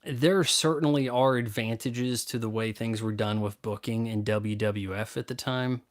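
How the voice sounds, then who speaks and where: A man's voice: mid-range voice, fairly even intonation, normal speed. A man hosting a live stream, casually answering viewer questions in a blunt, opinionated, rambling way.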